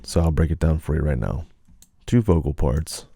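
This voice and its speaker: A man talks through a how-to in a casual, explanatory way. His voice is very deep and mellow with a lot of fry, recorded dry and close. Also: normal speed, no echo. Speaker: video creator giving a tutorial.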